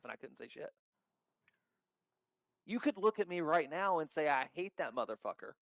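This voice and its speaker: A man who founded a crypto token, talking in a casual podcast chat, outspoken and blunt with a loud voice and free swearing. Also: a little high, no room sound.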